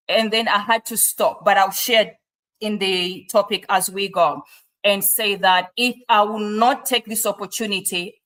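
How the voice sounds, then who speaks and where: Guest speaker, female, Malawian accent, bright forceful voice, emphatic personal testimonial. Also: mid-range voice. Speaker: guest, business coach.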